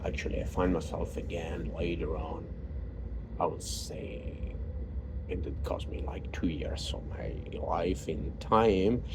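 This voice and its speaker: A man with a very low voice and an Italian accent speaks in a halting personal monologue, full of fillers and restarts. Vehicle noise rumbles clearly behind him. He is a native Italian speaker talking in English. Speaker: vlogger. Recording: noisy background.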